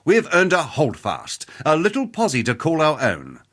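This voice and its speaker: A man's voice: fairly high pitch, wildly swooping pitch, unhurried, fairly bright tone, full character voice. A man playing a cocky, jovial middle-aged mercenary weapon master in a video game, with wry, boastful, roguish banter. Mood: persuasive. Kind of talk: scripted game character dialogue.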